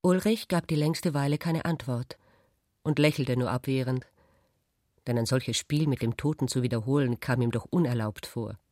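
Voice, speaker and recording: A man's voice: mid-range voice, normal speed, darkish tone, no echo, clean studio sound. A man reading literary prose as a radio drama narrator.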